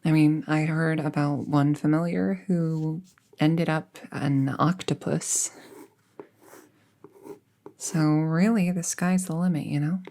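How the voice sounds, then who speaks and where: Young apprentice witch for audio roleplay, played by a woman: low, mellow, soft-spoken, casually thoughtful and friendly, near-whispered at times. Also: fairly even intonation, slow pace. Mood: musing, casual. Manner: soft-spoken, hesitant, musing, often trailing into near-whisper. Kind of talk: intimate roleplay audio acting, conversational.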